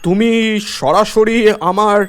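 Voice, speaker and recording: A man voicing a stern, indignant village headman in a cartoon, scolding with authority and drawn-out emphasis. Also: high-pitched. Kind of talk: cartoon voice acting.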